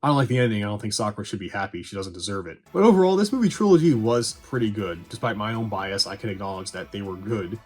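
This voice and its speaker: A man's voice: fairly low pitch, expressive, fast, few pauses, darkish tone, breathy edge. A man doing a casual, opinionated review voice-over in a blunt, sweary way with wry asides. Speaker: video essay host reviewing movies. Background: background music.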